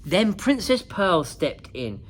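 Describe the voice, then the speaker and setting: A woman narrating a children's picture book in an expressive, dramatic, singsong way, like a principal at story time. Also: on the low side, slow pace. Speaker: school principal reading to children.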